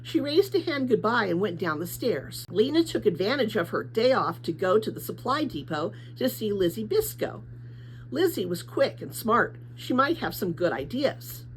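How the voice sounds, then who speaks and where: A woman reading a children's novel aloud as an expressive storybook narrator. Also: medium pitch, normal speed, slight background noise, poor audio. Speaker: storybook reader.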